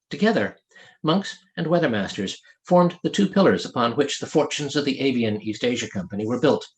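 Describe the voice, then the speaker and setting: A man narrating a story as an author reading his own novel, in an expressive storytelling delivery. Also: mid-range voice, low-quality recording. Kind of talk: scripted audiobook narration.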